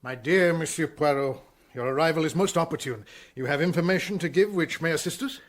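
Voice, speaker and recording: An actor playing an earnest, deferential French police inspector in a radio drama, formal and methodical in speech. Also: male voice, on the high side, brisk, close to an everyday voice. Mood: surprised, deferential. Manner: formal, methodical, reporting carefully.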